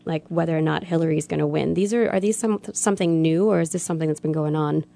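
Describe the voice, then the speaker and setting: A woman's voice: low voice, fast, vocal fry, mellow. A woman co-hosting a casual interview, asking curious questions and chiming in with short agreements.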